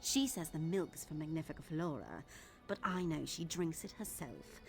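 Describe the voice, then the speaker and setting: Grumbling, sardonic servant, lively pitch. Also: female voice, moderate pace, gravelly, full character voice. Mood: grumbling, scornful. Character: disgruntled, sardonic palace kitchen servant woman. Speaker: game character actor. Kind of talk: video game character acting. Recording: music behind the voice.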